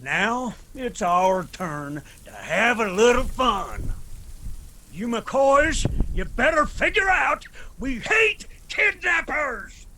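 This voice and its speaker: An actor playing a commanding, vengeful mountain patriarch in a radio drama, drawling with a Southern Appalachian accent. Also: male voice, very high voice, pushed and bright, heavily acted. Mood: menacing.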